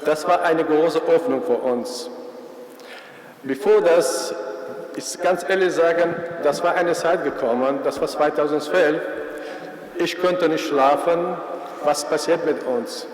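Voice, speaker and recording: Afghan man, slow, halting testimony, long church reverb. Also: on the high side, a crowd in the background. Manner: halting, searching for words, many fillers. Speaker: former military interpreter giving testimony. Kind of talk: personal account at a concert event. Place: large church. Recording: echoey church hall from far off.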